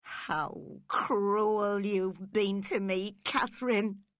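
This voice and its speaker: A brooding, passionate man acted by a woman narrator from a storybook romance. He speaks slowly and dramatically, torn between wild love and anguish. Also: deep voice, fairly even intonation, fairly bright tone, no echo, clean studio sound, exaggerated voice. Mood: wild reproach. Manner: impassioned, dramatic delivery.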